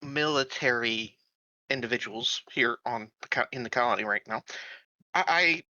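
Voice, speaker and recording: A man improvising in a tabletop roleplaying game, speaking haltingly and stammering over the starts of words. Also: medium pitch, bright, forceful tone, no echo, muffled recording. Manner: halting, stammering, repeats first syllables.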